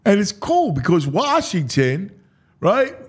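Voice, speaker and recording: A man's voice: sits high, wildly swooping pitch, slow, slightly rough. An American man hosting a sports talk show, breaking down a schedule with theatrical, announcer-like proclamations and casual asides. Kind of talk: dramatic, animated schedule breakdown.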